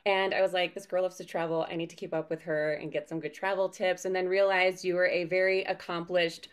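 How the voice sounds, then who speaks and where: A woman's voice: fairly low pitch, flat delivery, a little fast, smooth. A young adult woman hosting a podcast interview over a video call from home, chatty and bubbly. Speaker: podcast host. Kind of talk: casual interview chat.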